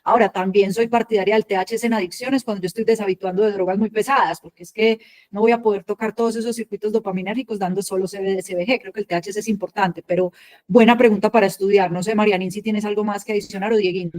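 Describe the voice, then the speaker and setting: A woman's voice: mid-range voice, fairly even intonation, quick pace, a little mellow. A woman moderating an online panel over a video call, speaking in a friendly, affectionate way with diminutives for colleagues.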